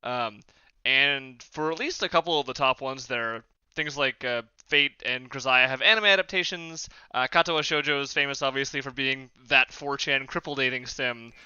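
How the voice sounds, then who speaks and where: A man's voice: medium pitch, moderate pace, pushed and bright, clean tone, no echo, highs cut off. A man talking casually as a panelist in a podcast discussion.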